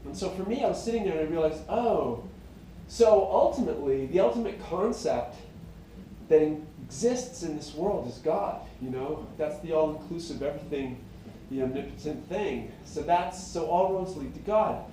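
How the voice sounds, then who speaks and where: A man's voice: animated, normal speed, warm tone, slight background noise. Reflective man, very echoey room. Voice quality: high tenor voice. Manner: meandering, reflective, many fillers and restarts. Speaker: singer-songwriter. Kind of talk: rambling casual monologue. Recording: very echoey room, mic at a distance.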